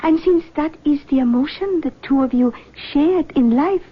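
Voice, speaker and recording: An actress playing a solemn, mysterious female spirit medium in a radio drama, with halting, theatrical phrasing. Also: high voice, natural voice. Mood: solemn, probing. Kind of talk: 1970s radio drama acting. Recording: muffled old radio recording.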